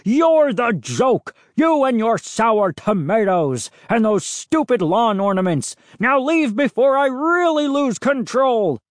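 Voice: mid-range voice, big pitch swings, takes it slowly, darkish tone, no echo, studio quality. A narrator voicing a big, blustering neighbour man in a storybook, menacing and indignant, bellowing and growling. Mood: indignant, enraged.

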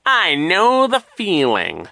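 A man voicing a smarmy, boastful villain posing as a gym coach, with a wheezy, drawn-out delivery in an audiobook story. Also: high voice, wildly swooping pitch, gravelly, sharp tone, dry recording, pitched above the performer's own voice, heavily acted. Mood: fawning, boastful.

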